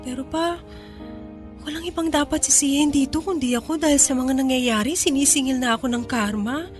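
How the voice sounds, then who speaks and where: An actress with a Filipino accent playing a loving, vulnerable, remorseful young adult daughter in an emotional radio drama style. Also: female voice, high-pitched, fairly quick, music in the background, natural voice. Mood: grieving. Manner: emotional, pleading, apologetic.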